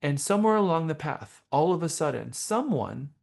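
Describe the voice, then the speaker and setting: A man teaching a professional class as a trainer, speaking in a deliberate, emphatic way with rhetorical questions. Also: on the high side, big pitch swings, vocal fry. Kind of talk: motivational training seminar talk.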